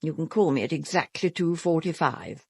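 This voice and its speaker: A woman's voice: deep voice, animated, moderate pace, vocal fry, a little mellow, slightly rough, no room sound, exaggerated voice. An actress playing a grand, commanding British married woman of the theatre in an audio drama. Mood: brisk, assured. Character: imperious, theatrical married actress who fears for her safety.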